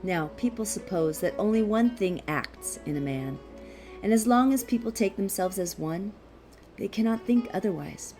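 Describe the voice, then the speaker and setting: A woman reading a philosophical text aloud as scripted narration, in a measured, deliberate way with pauses. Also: medium pitch, animated, mellow, faint music behind. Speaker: audiobook reader.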